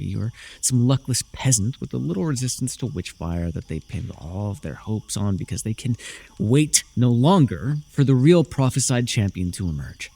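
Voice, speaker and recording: Fantasy roleplay villain: proud, menacing warlord, male performer. Raspy, very expressive, sardonic and persuasive, theatrical delivery aimed right at the listener. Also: medium pitch. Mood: knowing, belittling. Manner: theatrical, sardonic, swinging from soft coaxing to forceful declamation. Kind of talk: immersive fantasy audio roleplay, addressed to the listener.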